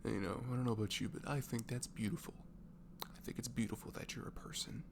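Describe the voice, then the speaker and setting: A man speaking softly and breathily in an improvised, comforting ASMR voice message, rambling affectionately and informally as if to a close friend. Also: mid-range voice, steady tone, fast talker, gravelly, noisy background. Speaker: amateur ASMR audio creator playing a supportive friend.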